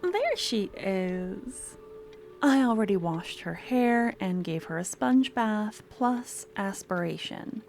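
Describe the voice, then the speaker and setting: A woman playing an eager, clingy young student with a secret obsession in an ASMR roleplay, speaking softly and often slipping into breathy whispers. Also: medium pitch. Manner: soft-spoken, often dropping to breathy whispers. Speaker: lab guide teaching embalming.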